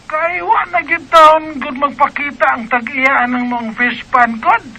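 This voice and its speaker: Fretful Cebuano Filipino mother for radio drama: very high-pitched, sharp and forceful. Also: fairly even intonation, measured pace, full character voice. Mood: earnest, animated.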